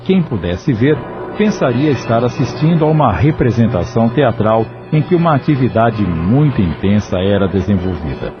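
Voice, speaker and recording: A man narrating an audio novel in a measured, grave manner. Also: on the low side. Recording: muffled lo-fi audio with music.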